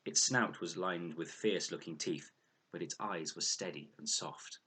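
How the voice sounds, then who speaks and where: A man narrates a storybook adventure expressively. His voice sits low, with a bright, forceful tone and a husky grain. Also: moderate pace. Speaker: audiobook reader.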